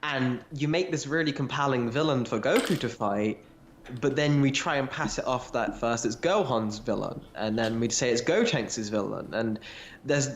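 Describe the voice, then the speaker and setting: A man's voice: medium pitch, normal speed, slight background noise. A man talking casually and analytically as a co-host of a fan discussion podcast. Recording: roomy echo, slightly dull lo-fi sound.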